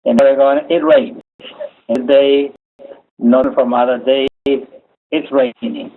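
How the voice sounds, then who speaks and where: A man from Chile giving a webinar lecture, speaking haltingly with many "uh" fillers. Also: medium pitch, level tone. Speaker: engineering lecturer. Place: home office. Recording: tinny phone-like audio.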